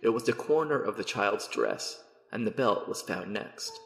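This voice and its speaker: A man's voice: on the low side, very animated, moderate pace, creaky, a bit bright, roomy sound, in a large hall, faint music behind, exaggerated voice. A man voicing a neighboring farmer recounting a discovery in a matter-of-fact way. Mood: sober. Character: neighboring farmer giving a plain account.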